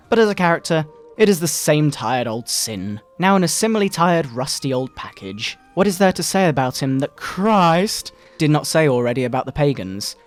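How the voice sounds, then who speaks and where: Casting: male performer as indignant Bible-thumping father, theatrical and scolding, highly varied intonation, game music underneath. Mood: mocking. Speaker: video narrator. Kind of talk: dramatic satirical reading, sermon-like. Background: video game music.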